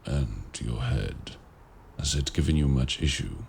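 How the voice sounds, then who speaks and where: A man playing a mysterious, courteous warlock of the woods in an audio roleplay, speaking in formal, archaic, measured phrases with a gravelly voice. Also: extremely low pitch, animated, slight background noise, full character voice. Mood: solicitous, measured. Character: mysterious, courteous forest-dwelling male warlock. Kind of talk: audio roleplay acting, addressing the listener.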